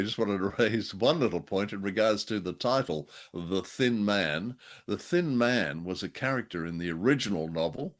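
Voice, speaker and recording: An Australian man co-hosting a casual radio chat show, speaking in a wry, jovial, hesitant and wordy way. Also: fairly low pitch. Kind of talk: casual conversational review of old radio drama.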